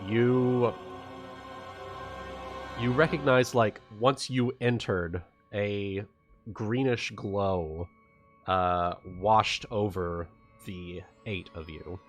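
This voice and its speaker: Guy game mastering, low voice, takes his time and stumbles for words, soft music under it. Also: steady tone. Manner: halting, searching for words as they go. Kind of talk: narrating a live tabletop roleplaying session. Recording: music playing behind the voice. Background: background music.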